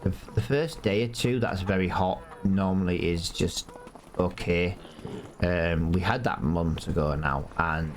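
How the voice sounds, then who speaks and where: A man's voice: sits low, measured pace. A man chatting casually through gameplay, rambling and addressing viewers, sniffly with hay fever. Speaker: gaming YouTuber. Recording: loud game vehicle noise behind. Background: farming game engine and vehicle sounds.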